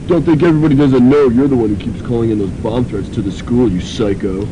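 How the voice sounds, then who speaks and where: A man's voice: fast talker, natural voice. Man acting a sarcastic, irritable college jock. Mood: combative. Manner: sarcastic, combative. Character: gruff, irritable young male college athlete. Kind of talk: student radio drama acting. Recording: noisy, rumbling amateur radio recording.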